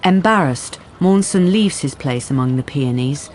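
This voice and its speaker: A woman's voice: low-pitched, expressive, normal speed, a little mellow, a little hiss or hum. A woman giving scripted narration as the narrator of a radio drama. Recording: music behind the voice.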